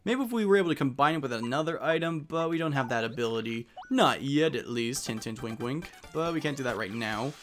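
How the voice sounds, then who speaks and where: A man's voice: medium pitch, animated, fairly quick, hardly any pauses, soft background music. A man giving casual commentary as he plays through a game. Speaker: let's player.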